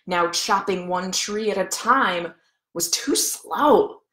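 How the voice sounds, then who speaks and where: A woman's voice: mid-range voice, expressive, slow, a bit bright. A woman voicing a boastful, greedy male factory owner who tells his tale in a dramatic storybook read-aloud. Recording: echoey room.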